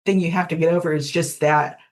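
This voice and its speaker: A middle-aged woman with a low-pitched voice talks as a guest in a relaxed interview. She explains things reflectively, in plain conversational language. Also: quick pace. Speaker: guest podfic narrator. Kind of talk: casual interview chat.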